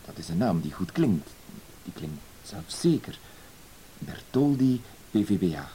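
A low, soft-toned voice with some hiss behind it, from an old radio play. a timid, self-deprecating man who hesitates and stammers. He is painfully polite yet quietly insistent. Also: big pitch swings, measured pace, rough recording. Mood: timid, apologetic.

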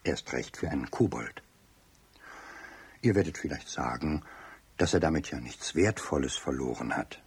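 A man narrating a children's radio play as storyteller, expressive and addressing young listeners directly, with a deep, husky voice. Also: moderate pace, long pauses, no room sound.

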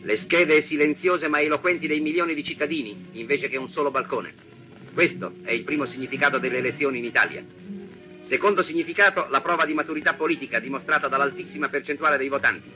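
Fast, piercingly bright 1940s newsreel man. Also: a little high, faint music behind, poor audio. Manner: declamatory, formal. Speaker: newsreel narrator. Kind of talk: 1940s Italian newsreel narration, scripted and rhetorical. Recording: vintage 1940s newsreel soundtrack.

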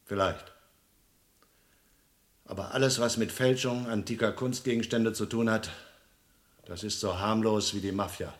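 A man's voice: lowish voice, fairly even intonation, a little slow, long pauses, lightly acted. A man acting in a vintage crime radio play as a suspicious museum restorer, brusque and sardonic. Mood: wary, insistent. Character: gruff, suspicious male museum restorer warning a friend. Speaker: radio drama actor.

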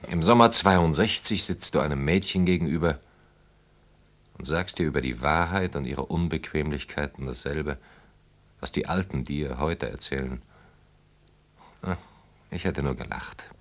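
A disillusioned man of about thirty in a German radio play. His voice sits deep and low, with a dry, ironic delivery that teases gently and muses sceptically. The style is conversational and naturalistic. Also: normal speed, long pauses. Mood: reflective, disillusioned.

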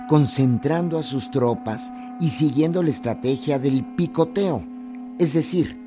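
A Mexican man narrates history for radio from a script. His delivery is slow with wide, animated intonation, set over music. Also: mid-range voice.

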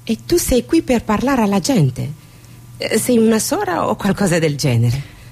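A woman's voice: mid-range voice, huge pitch swings, moderate pace, darkish tone, a little hiss or hum. An actress playing a curious, protective local woman in a stage drama, probing with questions. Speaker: stage actor.

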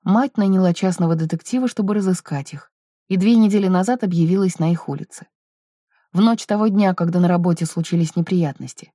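Bright-voiced woman narrating a novel. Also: on the low side, a little fast, no room sound, studio quality. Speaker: audiobook narrator. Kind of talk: scripted literary narration with character dialogue.

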